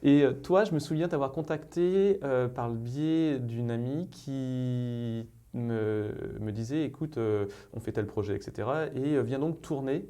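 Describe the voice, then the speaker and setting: A man's voice: mid-range voice, measured pace, mellow. A male interview host with a mid-range voice and a chatty, familiar manner. He talks loosely with many fillers and leads the guest along. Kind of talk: casual interview talk.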